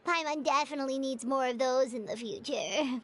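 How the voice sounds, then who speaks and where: A woman voicing a tiny, cheerful fairy companion in a game, with a squeaky childlike voice and excitable manner. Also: fairly quick, slight background noise. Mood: content. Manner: excitable, refers to self in third person. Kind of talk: animated game voice acting.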